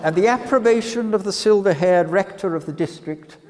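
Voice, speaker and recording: A man's voice: high voice, normal speed, a little mellow, voice pushed up, natural voice. A man giving a dramatic reading as an earnest young Victorian suitor, flowery, declamatory and respectfully courteous. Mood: earnest, genteel. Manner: florid, declamatory, formally courteous. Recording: church hall with long reverb.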